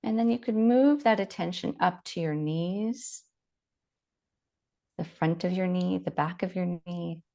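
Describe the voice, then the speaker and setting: A woman leading a guided body scan meditation, giving gentle, unhurried instructions with long pauses. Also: on the low side, creaky.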